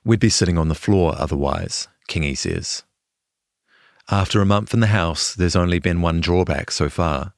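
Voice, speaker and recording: A man's voice: low voice, lively intonation, normal speed, dry recording, clean studio sound. A man with a New Zealand accent reading a scripted longform feature as a journalist. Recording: music behind the voice.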